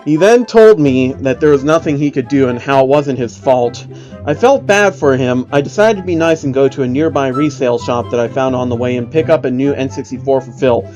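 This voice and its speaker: A man's voice: medium pitch, fairly quick, a little mellow, lo-fi sound. A man giving a mocking, amused dramatic reading of an online horror story as its narrator. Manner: amused, exaggerated, often breaking character. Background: faint music.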